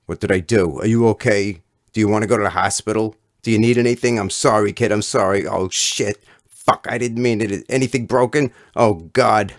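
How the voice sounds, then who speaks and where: A man voicing a fat kid bully with a soft heart in a storybook reading, loud, blustering and foul-mouthed. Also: lowish voice, fairly even intonation, normal speed, no room sound, clean studio sound, pitched above the performer's own voice, natural voice. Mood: frantic, apologetic.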